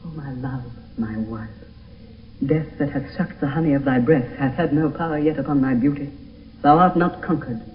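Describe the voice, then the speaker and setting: An actor playing a grief-stricken young lover in theatrical, impassioned radio Shakespeare verse. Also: male voice, medium pitch, moderate pace, distant mic, natural voice. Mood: sorrowful wonder. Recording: muffled, echoey, hissy old radio broadcast.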